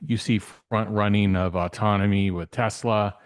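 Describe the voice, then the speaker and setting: Male guest commentator, lowish pitch, dry sound, conversational, halting as he rephrases. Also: steady tone, clear, resonant tone, no echo. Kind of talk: casual off-the-cuff commentary.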